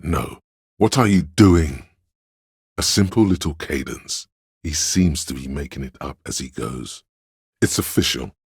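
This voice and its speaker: A man voicing a pompous, erudite inner voice of artistic imagination in a video game, deliberate and theatrical, with a gravelly, husky voice. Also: rumbling low, dry recording, clean studio sound, exaggerated voice. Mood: critical, exacting.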